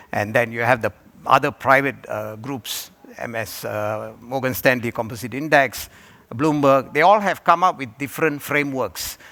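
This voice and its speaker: A man from Malaysia speaks on a conference panel. His voice sits at a middle pitch. He stops and restarts often and fills gaps with "uh". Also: sharp tone. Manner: halting, with many fillers and restarts. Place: conference hall.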